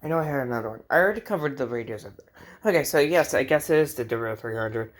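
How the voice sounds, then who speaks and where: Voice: mid-range voice, animated, quick pace. A vlogger with an American accent chatting casually and unscripted in a bedroom, jokey, rambling and easily sidetracked while showing off a collection. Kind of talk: casual unscripted vlog chat. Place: indoors in a bedroom.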